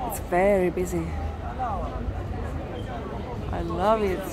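A woman's voice: fairly low pitch, fairly even intonation, slow pace, over a noisy crowd, poor audio. A woman vlogger chatting casually and emphatically as she narrates a walk outdoors. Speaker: walking tour vlogger. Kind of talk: casual live narration while walking. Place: busy city street outdoors. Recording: outdoor street noise with traffic. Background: urban ambience, passing vehicles.

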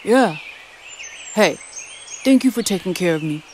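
A woman voicing a big, kind male bull in an animated children's story, speaking softly and warmly. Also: a little slow, background noise, natural voice. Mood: friendly. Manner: soft, low and friendly.

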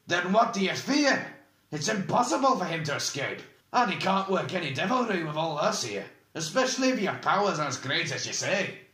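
A man's voice: sits high, very animated, rapid-fire, hardly any pauses, bright, forceful tone, reverberant, pitched above the performer's own voice, heavily acted. A man voicing a blunt, hot-tempered, defiant male dwarf who roars indignantly in an audiobook story. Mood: roaring, challenging.

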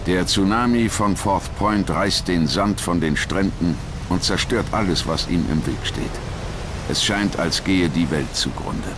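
A man's voice: deep voice, measured pace, background noise. A man reading scripted documentary narration as the narrator.

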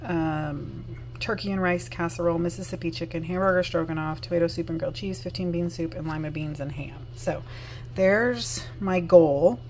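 A woman's voice: fairly low pitch, normal speed, darkish tone, a little hiss or hum. A woman in her thirties with a Southern US accent, chatting casually and upbeat to the camera, with frequent ums and self-corrections, recorded on a phone held close in a home kitchen. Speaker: vlogger. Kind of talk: casual chat. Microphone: phone held close at arm's length. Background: dishwasher running.

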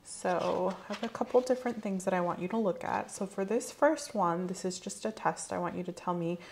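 A young woman playing a calm, methodical medical examiner in a soft-spoken ASMR roleplay, quietly reassuring and procedural. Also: fairly low pitch, brisk, vocal fry, a little hiss or hum. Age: young adult. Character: calm, methodical female eye-exam clinician. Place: small indoor room set up as an exam room.

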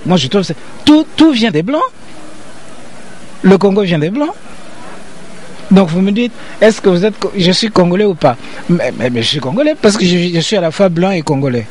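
An older man explains himself with the insistence of a lecturer, circling back and repeating his points. His voice sits fairly high for a man. Faint city noise hums behind him. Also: darkish tone. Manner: emphatic, repetitive, lecturing. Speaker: interview guest, professor. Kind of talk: interview.

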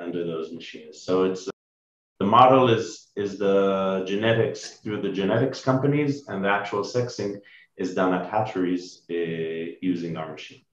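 Deep-voiced man on a dull video call. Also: reverberant. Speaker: startup chief executive presenting to investors. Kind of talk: business pitch and Q&A.

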